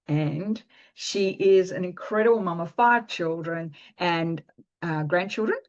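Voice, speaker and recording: Lower-voiced woman host, upbeat and chatty, muffled recording. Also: measured pace. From Canada. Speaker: podcast host. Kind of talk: podcast interview.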